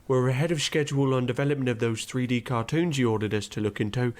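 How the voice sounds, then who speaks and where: A man voicing an eager yes-man research chief in a comedy radio play, brisk and fawning. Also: mid-range voice, darkish tone, pitched below the performer's own voice, natural voice.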